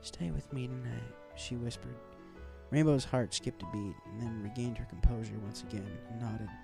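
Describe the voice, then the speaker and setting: A man's voice: fairly low pitch, steady tone, normal speed, creaky, music in the background. A man reading a scripted fan fiction story aloud as its narrator.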